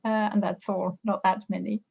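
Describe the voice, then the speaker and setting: A woman's voice: medium pitch, flat delivery, moderate pace, creaky, darkish tone, husky. French woman, thoughtful, slightly muffled. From France. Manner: thoughtful, with frequent hesitations and restarts. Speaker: business professor, interviewee. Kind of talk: reflective interview answers.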